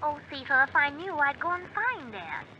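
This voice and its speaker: A flustered, scatterbrained woman of vintage radio comedy. Her voice is very high, on a muffled old recording with some noise. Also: sing-song, normal speed. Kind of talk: 1920s radio comedy acting.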